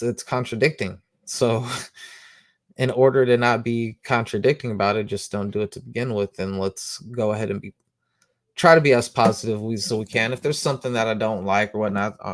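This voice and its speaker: A man hosting a comic book show, rambling casually in slang, who says he has a loud voice. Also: lowish voice, no echo, clean studio sound. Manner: slangy, rambling, lots of "you know what I'm saying". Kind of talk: casual monologue.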